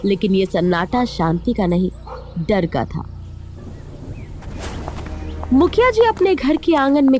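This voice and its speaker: Animated, high-voiced woman storyteller. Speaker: storyteller, narrator. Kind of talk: scripted narration of a cartoon moral story.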